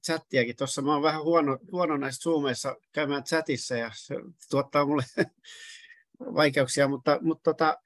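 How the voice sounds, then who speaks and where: A middle-aged man joins the video call with a fairly high voice. His sound is dry and close, and he talks hesitantly, poking fun at himself. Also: steady tone, a bit bright, dry recording. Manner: hesitant, self-deprecating. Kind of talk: casual discussion.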